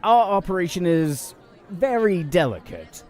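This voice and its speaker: Animated, courteous official played by a man. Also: high-pitched, a little slow, a faint crowd. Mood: explaining, reassuring. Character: courteous, probing settlement official who explains the wasteland. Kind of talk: audio drama acting.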